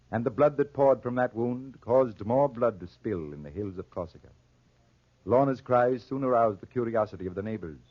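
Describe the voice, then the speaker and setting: Muffled old radio drama recording of a proud, grave farmer father. His low voice swings expressively and carries a husky edge as he tells his story with deliberate weight. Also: dry recording. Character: proud, honor-bound Corsican farmer father, narrating his tragedy. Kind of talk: 1940s radio drama acting.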